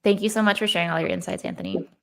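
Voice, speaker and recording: Low gravelly-voiced female host. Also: very animated, rapid-fire, noisy background. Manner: warm, encouraging, many ums. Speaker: podcast co-host. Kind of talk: interview hosting.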